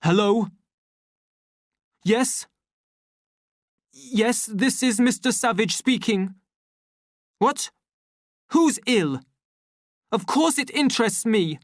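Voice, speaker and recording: Furious young man, high and loud, shouting, read by a woman. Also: lively intonation, takes it slowly, pauses often, dry recording, studio quality. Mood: urgent.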